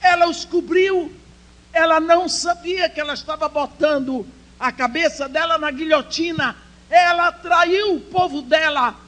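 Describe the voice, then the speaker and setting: A woman whose first language is English, preaching with passion at a women's church conference, swinging between loud proclaiming and hushed storytelling. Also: high voice. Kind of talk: sermon.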